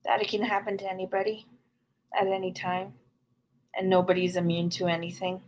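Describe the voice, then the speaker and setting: A woman's voice: medium pitch, little pitch movement, a little fast, a little mellow, a little hiss or hum, poor audio. A middle-aged woman from Canada, speaking earnestly and frankly as a guest in an interview. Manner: earnest, frank and plain-spoken. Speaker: podcast guest. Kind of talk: candid conversational interview.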